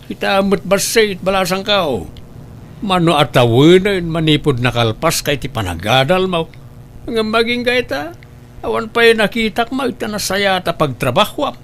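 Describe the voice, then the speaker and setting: A man's voice: high voice, animated, normal speed, gravelly, fairly bright tone, slight background noise, heavily acted. A man with a Filipino accent playing a stern, nagging middle-aged father and uncle in a comedy drama, scolding and questioning. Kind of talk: amateur comedy drama acting.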